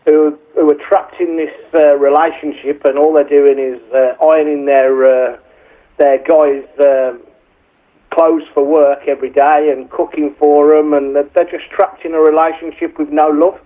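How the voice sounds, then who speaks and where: A man's voice: a little high, flat delivery, normal speed. A man, a songwriter, explaining his song over the phone in a loose, rambling way, with restarts and fillers. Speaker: songwriter being interviewed. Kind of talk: casual chat over the phone. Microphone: telephone. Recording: tinny phone line.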